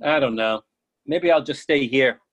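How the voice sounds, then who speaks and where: A man's voice: mid-range voice, moderate pace, a bit bright, dull audio, lightly acted. A man reading the part of an oddly fixated, stubborn friend who argues silly logic earnestly in a comic play reading.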